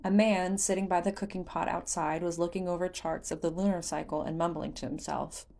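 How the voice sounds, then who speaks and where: A woman's voice: on the low side, flat delivery, brisk, gravelly, darkish tone, slight background noise. A woman reading scripted fantasy fiction aloud as an audiobook narrator.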